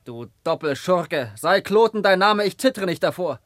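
An actor playing a proud, fearless young prince of the wilds in a classical radio play, speaking in a poetic, declamatory way. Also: male voice, sits high, expressive, normal speed, fairly bright tone, no echo. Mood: defiant, mocking.

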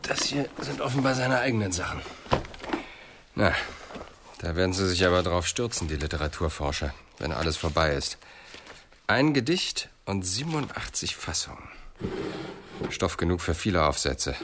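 A wry police detective with a low voice. He is dry and probing in a radio crime play, with clear noise and clicks behind him. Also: expressive, normal speed, pauses often. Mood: wry, curious. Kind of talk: radio drama dialogue.

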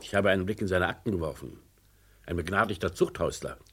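An actor playing an authoritative, distrustful mine boss in a radio crime play, with a commanding, deliberate manner. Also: male voice, sits low, expressive, fairly bright tone, breathy edge, full character voice. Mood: sceptical, pressing.

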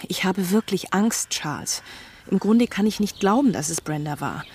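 A woman's voice: mid-range voice, animated, normal speed, breathy edge. A woman playing a worried, earnest young fiancée in a radio play, confiding in her manner. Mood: anxious.

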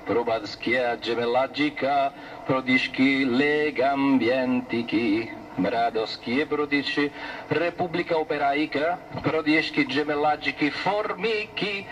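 A man's voice: a little high, fairly even intonation, slow pace, a bit bright, pitched above the performer's own voice. Man voicing a fake-Russian musician, gibberish chant, slightly raised voice, distant tape hiss. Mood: pompous. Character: mock Russian male musician broadcasting from afar.

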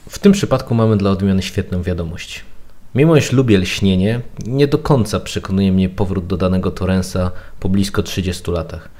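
A man's voice: low-pitched, moderate pace, a little mellow. A man giving prepared opinion commentary as a guest on a podcast.

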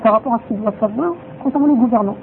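A man's voice: very high-pitched, rapid-fire, vocal fry. A man giving a religious lesson who drops in Arabic phrases and repeats his points for emphasis. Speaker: religious teacher. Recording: tinny phone-like sound with faint noise.

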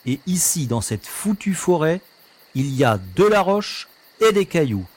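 A man's voice: on the high side, very animated, a little slow, slightly rough, heavily acted. A man playing a sceptical, grumbling member of a search party in an audio drama. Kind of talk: French audio drama acting.

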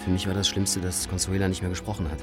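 A man's voice: low voice, completely flat delivery, fast, music in the background, close to an everyday voice. An actor playing a troubled, defensive young man who recounts past events reflectively in a radio drama. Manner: reflective, confessional. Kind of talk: interview-like radio drama narration.

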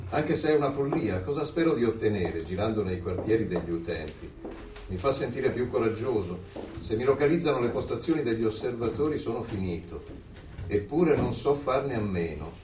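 A man plays a guarded middle-aged dissident in a radio play. His voice is medium-pitched and moves a lot, hesitant and reflective with an anxious curiosity. He sounds distant in an echoey space. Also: a little mellow, close to an everyday voice. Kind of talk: radio drama acting, dialogue and inner monologue. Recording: echoey, distant, music or noise behind.

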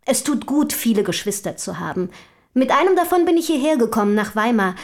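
A woman's voice: a little high, big pitch swings, a little fast, a little mellow. An actress in a German radio play voicing an eager, self-assured young woman of a rich family, speaking formally and articulately. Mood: chatty, proud.

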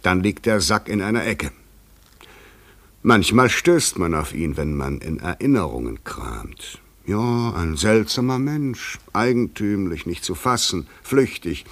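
A man's voice: low voice, animated, unhurried, fairly bright tone, full character voice. A man acting an eloquent, world-weary fugitive in a literary radio play, speaking poetically and rhetorically. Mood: brooding. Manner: poetic, rhetorical, theatrical delivery.

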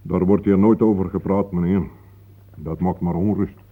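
An actor playing an older, guarded, matter-of-fact local man with a husky voice, formally polite, in a Dutch radio play. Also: low-pitched, fairly even intonation, normal speed, phone-quality sound, a little hiss or hum, natural voice.

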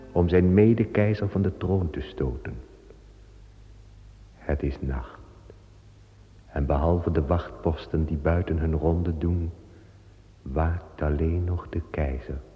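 A male narrator reads in a slow, deep, solemn voice. A long reverb trails behind his words. Faint music plays under the dull old recording. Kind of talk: scripted radio play narration.

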